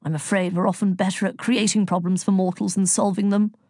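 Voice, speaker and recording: A woman voicing an amiable, old-fashioned male Greek god in a storybook, genial and gentle with quaint British turns of phrase. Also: medium pitch, flat delivery, fairly quick, dry recording, clean studio sound, natural voice. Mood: gentle, regretful. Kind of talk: audiobook character dialogue.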